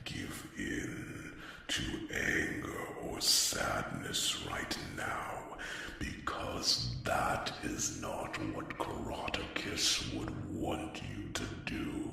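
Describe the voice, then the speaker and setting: Casting: male actor for gentle, solemn fantasy sage, breathy ASMR whisper, crawling delivery, distant and drenched in reverb. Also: extremely low pitch, expressive, exaggerated voice. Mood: earnest, soothing. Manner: slow, hushed, measured, with pondering hums. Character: solemn, gentle wise mentor counselling a young friend in dragon fantasy. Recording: echoey, distant, noisy with long reverb.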